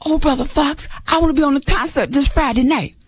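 A woman's voice: high-pitched, a little fast, natural voice. A woman storyteller voicing a small, hopeful male frog who begs to sing, in an eager, pleading voice.

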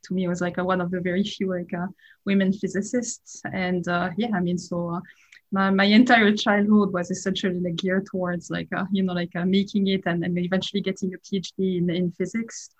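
A woman's voice: lowish voice, little pitch movement, brisk. A woman in her forties with a French accent, a startup founder giving an interview and rambling with many fillers. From France. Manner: rambling, heavy use of "like" and "uh". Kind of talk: casual interview talk. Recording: dull, lo-fi sound.

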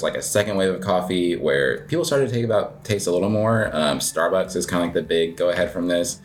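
A man with an American accent and a low-pitched voice talks as a guest in a casual interview. He explains things informally and loosely, wandering through his points with plenty of "like" along the way. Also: a little hiss or hum. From United States. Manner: rambling, informal, peppered with "like". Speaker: interview guest, coffee shop owner. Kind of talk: casual conversational interview answers.

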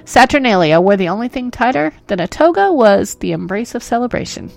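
A woman's voice: a little high, big pitch swings, a little fast, creaky, a little mellow, faint music behind. A woman hosting a podcast who narrates history in a playful, self-mocking way full of jokes. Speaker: podcast host.

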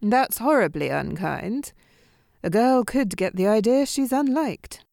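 A woman's voice: on the high side, expressive, a little slow, vocal fry, a little mellow, dry recording, studio quality. A woman playing a perky young contestant in an audio drama, bantering with a sarcastic edge. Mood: probing, wry.

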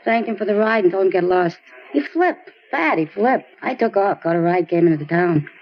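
Defiant teenage girl, American, slangy and scornful, quick delivery, dull noisy old radio recording. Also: medium pitch, lively intonation. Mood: dismissive. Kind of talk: 1950s radio drama acting.

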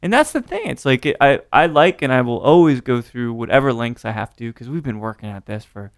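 A man's voice: mid-range voice, animated, fairly quick, dry recording. Chatty man hosting, dry sound. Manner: chatty, upbeat, lots of "right?". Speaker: host. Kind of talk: casual interview.

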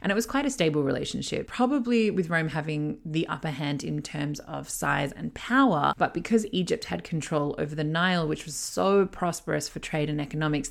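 Low, gravelly-voiced woman telling history. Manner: chatty, irreverent asides. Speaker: history podcast host. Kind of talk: casual storytelling about history.

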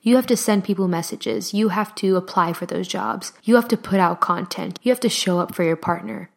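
A young adult woman hosting a solo motivational podcast, earnest and encouraging, her voice slightly hoarse from talking. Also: medium pitch, brisk. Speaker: podcast host. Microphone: studio microphone.

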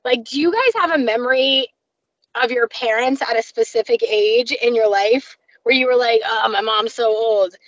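High-voiced chatty woman, lo-fi sound. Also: normal speed, no echo. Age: 40s. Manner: chatty, animated, says "like" a lot. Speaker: podcast co-host. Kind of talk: casual chat. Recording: dull lo-fi sound.

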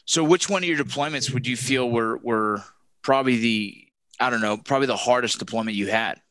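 A man's voice: medium pitch, a little fast, a bit bright, no room sound. A man hosting a casual interview, conversational and repeating words as he forms his questions. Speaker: podcast host, army veteran.

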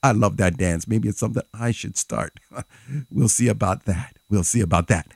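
A man's voice: lowish voice, normal speed, gravelly, slightly rough, no echo, clean studio sound. A man with an allergy-congested voice chatting casually and enthusiastically as the DJ of a music show.

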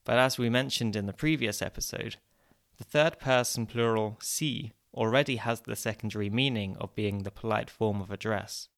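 A man hosting a podcast, explaining points clearly in a lightly wry way. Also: mid-range voice, fairly bright tone. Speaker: podcast host.

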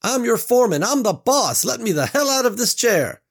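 A man voicing a panicked, bossy male foreman tied to a chair, shouting and pleading. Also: sits high, animated, measured pace, gravelly, pitched above the performer's own voice, full character voice. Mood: angry shouting. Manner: shouting, frantic.

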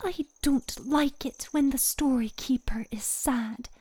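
A woman puts on a little girl for an audio drama, timid and sensitive. The voice is small and raised in pitch. It has a childlike, whimpering delivery. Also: slow, warm tone, slightly rough, full character voice.

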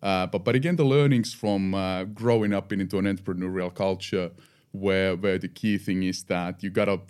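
Man, Finnish accent, middle-aged, very low pitch, reflective, many restarts, podcast guest. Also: steady tone, normal speed, a little mellow. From Finland. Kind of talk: podcast interview.

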